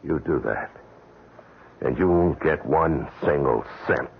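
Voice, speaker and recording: An actor playing a guilt-ridden widower who has killed, melodramatic and stammering, in an old radio mystery drama. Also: male voice, extremely low pitch, slow pace, gravelly, heavily acted. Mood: cold, brooding. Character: tormented, guilt-ridden widower murderer, desperate and brooding. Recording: tinny, noisy old radio recording.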